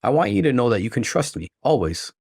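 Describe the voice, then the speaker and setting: A man's voice: medium pitch, sing-song, fast talker, vocal fry, a little mellow, dry recording, studio quality, voice pushed up. A man voicing a loyal, indignant young wife in a story reading, with earnest, emotional emphasis. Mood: earnest, pleading.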